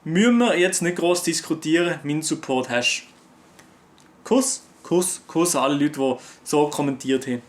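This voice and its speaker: A man's voice: a little high, moderate pace. A young man from Switzerland with a Swiss accent, chatting casually with viewers and answering their comments in loose, slangy talk full of fillers. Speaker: YouTuber.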